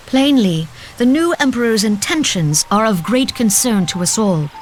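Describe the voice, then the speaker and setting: A woman voicing a poised, formal stateswoman in a fantasy video game, speaking in measured, stately and archaic phrases. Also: on the high side, animated, a little hiss or hum, close to an everyday voice. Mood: resolute, persuasive.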